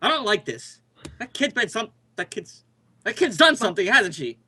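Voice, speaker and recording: A man's voice: high-pitched, very animated, normal speed, bright, forceful tone, breathy edge, full character voice. A man playing an affable but suspicious suburban husband and father in an amateur radio play, talking conversationally with some exaggerated delivery. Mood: concerned, insistent.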